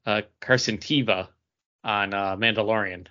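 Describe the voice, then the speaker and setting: A man's voice: fairly low pitch, fairly even intonation, moderate pace, bright, forceful tone. A man co-hosting a podcast, chatting in a casual, informal style. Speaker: podcast co-host. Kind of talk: casual chat. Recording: dull, lo-fi audio.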